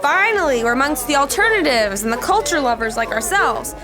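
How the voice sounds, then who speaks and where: An actress playing a bossy, excitable young woman who takes charge in a podcast sitcom. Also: high-pitched, a little fast, music in the background. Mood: excited. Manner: bossy, excitable, self-assured. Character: Laura, bossy, eager young woman planner.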